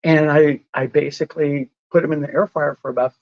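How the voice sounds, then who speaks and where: A man's voice: a little high, steady tone, a little fast, lo-fi sound. A man in a casual podcast conversation, explaining whole foods in a patient way and laughing often. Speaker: lifestyle medicine advocate.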